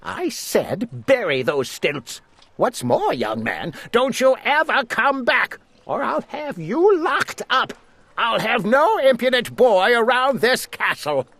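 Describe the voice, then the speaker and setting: A man's voice: very high voice, sing-song, slow pace, fairly bright tone, pitched above the performer's own voice, heavily acted. A man voicing a sly, sulky scheming lord who hisses harsh commands. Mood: harsh commanding.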